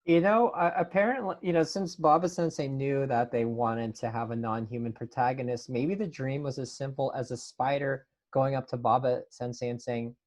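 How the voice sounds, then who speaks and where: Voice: mid-range voice, steady tone, normal speed, hardly any pauses, a little mellow. Casual Q&A host, mid-range voice, enthusiastic, reads audience questions and comments on them. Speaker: host reading out fan questions. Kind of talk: casual panel Q&A.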